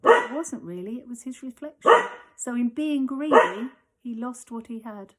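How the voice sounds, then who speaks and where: A primary school teacher reading a children's story aloud at home, expressive, dropping to whispers for the suspenseful parts. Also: female voice, fairly high pitch, normal speed.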